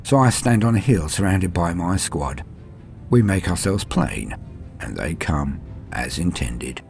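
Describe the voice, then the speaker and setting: A man's voice: low-pitched, lively intonation, gravelly, darkish tone, soft background music, exaggerated voice. A man reading as a grim space marine veteran. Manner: measured, grim, matter-of-fact storytelling. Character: proud battle-hardened male space marine veteran. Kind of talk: first-person fiction reading.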